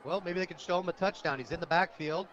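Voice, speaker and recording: High-pitched American man calling football. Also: fast talker, a bit bright. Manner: reads sponsor plugs between plays. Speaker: play-by-play announcer. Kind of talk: live radio football play-by-play. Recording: dull lo-fi outdoor radio broadcast.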